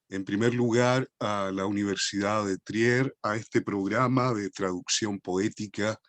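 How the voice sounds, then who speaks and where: Slow, hesitant Chilean man. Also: on the low side, dry recording. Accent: Chilean Spanish. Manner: slow, thoughtful, many hesitations. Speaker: poet giving a lecture. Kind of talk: formal lecture introduction.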